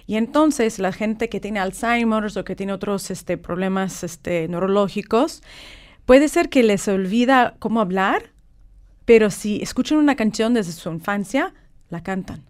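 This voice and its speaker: A woman from California, a non-native speaker with English as her first language, explaining in a measured way as a guest on a morning radio interview, with frequent filler words. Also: mid-range voice, lively intonation, a little mellow. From California, United States. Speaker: guest, polyglot author.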